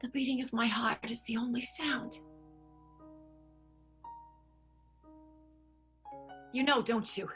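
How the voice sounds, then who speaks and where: A woman performs a protective, lovelorn stage manager in an improvised radio melodrama. The voice is high, and the character leans on dramatic repetition, as if guarding a secret. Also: fairly even intonation, fairly quick, fairly bright tone, soft background music, lightly acted. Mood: secretive. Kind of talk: improvised radio soap opera acting.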